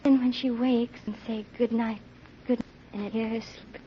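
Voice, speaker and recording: A woman's voice: fairly high pitch, steady tone, moderate pace, lightly acted. An actress playing a fragile, yearning young sick mother in a 1930s radio drama, breathless and emotional. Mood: wistful. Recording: dull noisy 1930s radio recording.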